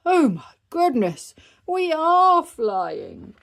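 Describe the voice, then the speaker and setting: A woman puts on a jolly daddy pig for a storybook. He is hearty but a little timid, with lively, swinging intonation. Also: medium pitch, slow pace, full character voice. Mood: amazed. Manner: hearty exclamations. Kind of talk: children's storybook character reading.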